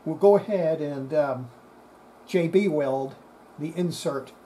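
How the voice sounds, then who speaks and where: A man talks through a hands-on repair in an unhurried, halting way. His voice is mellow and a touch higher than average, often muttering to himself. There is faint noise behind him. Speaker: hobbyist restorer presenting a repair. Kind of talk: unscripted hands-on workshop demonstration. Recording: steady background noise.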